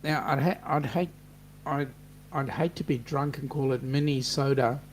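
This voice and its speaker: A man's voice: medium pitch, fairly even intonation, normal speed, a little hiss or hum. An Australian man hosting a casual livestream chat, upbeat and reading viewer comments aloud. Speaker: host.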